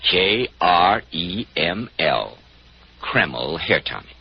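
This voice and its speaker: A man's voice: deep voice, big pitch swings, slow, gravelly, breathy edge. A man with an American accent announcing and advertising in polished, emphatic, salesmanlike 1940s radio style. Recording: muffled 1940s radio broadcast.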